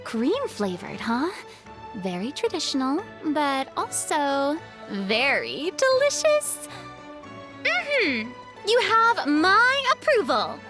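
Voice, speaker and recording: A woman's voice: high voice, huge pitch swings, takes it slowly, music in the background, full character voice. A woman playing a theatrical, playful young artist in a video game cutscene, dramatically savoring her words. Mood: pleased.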